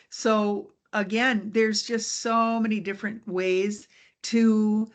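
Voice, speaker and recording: A woman hosting a casual podcast interview, upbeat and encouraging, with quick asides. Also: mid-range voice. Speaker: podcast host.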